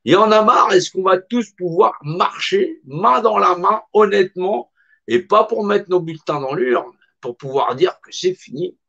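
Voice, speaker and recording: A man's voice: high voice, animated, a little slow, vocal fry, pushed and bright. A middle-aged man speaks in an impassioned monologue with a slightly raised voice. He is emphatic and colloquial and hammers on repeated phrases. The recording sounds dull and lo-fi.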